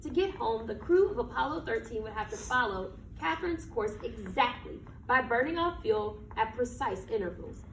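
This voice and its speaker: Very bright, animated woman reading aloud, echoey. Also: fairly high pitch, normal speed, hardly any pauses. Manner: emphatic, dramatic phrasing with questions to listeners. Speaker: read-aloud storyteller. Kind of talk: expressive children's picture book read-aloud. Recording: roomy echo, distant mic, background noise.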